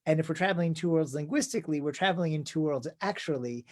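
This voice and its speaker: A man's voice: fairly high pitch, fast talker, a little mellow. A man in his forties, a rabbi interviewing a colleague, talking thoughtfully and often restarting his sentences. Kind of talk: conversational interview.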